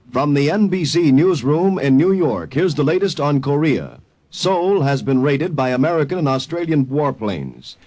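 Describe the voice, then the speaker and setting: A man's voice: a little high, a little mellow. A man reading a scripted news bulletin in 1950s radio style. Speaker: radio newsreader. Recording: noisy old radio broadcast recording.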